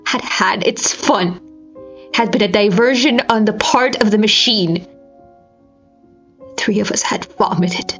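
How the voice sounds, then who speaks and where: A woman giving a dramatic scripted narration, grave and theatrical, with deliberate phrasing. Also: mid-range voice. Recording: slightly dull recording with music behind. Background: music.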